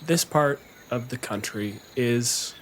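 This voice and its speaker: A man's voice: medium pitch, slow pace, background noise. A man speaking as a game master, casually improvising scenes and chatting in an informal, conversational way. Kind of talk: casual chat and improvised tabletop scene narration.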